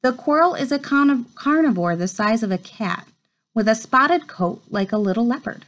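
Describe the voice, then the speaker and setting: A woman in her thirties reading a children's nature book aloud at home, with measured storytime phrasing. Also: a little high, expressive, fairly bright tone. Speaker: read-aloud presenter. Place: small furnished room at home, indoors.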